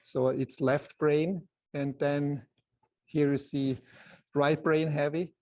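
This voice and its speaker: A soft-voiced man with a Swiss accent presenting an informal photography lecture to a group, in halting phrases with many pauses. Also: fairly high pitch, level tone. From Switzerland.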